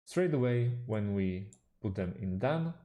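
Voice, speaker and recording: A man whose first language is Slovak, calmly walking through a software tutorial with frequent fillers. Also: lowish voice. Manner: unhurried, hesitant with fillers, slightly non-native phrasing. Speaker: tutorial host. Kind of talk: screen-recorded software tutorial.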